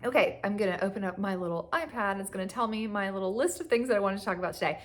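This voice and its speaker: A young woman, slightly hoarse from a cough, chatting casually at home about her knitting, rambling with lots of fillers and little laughs. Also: mid-range voice, very fast. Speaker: knitting vlogger. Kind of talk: casual chat. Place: at home on a couch.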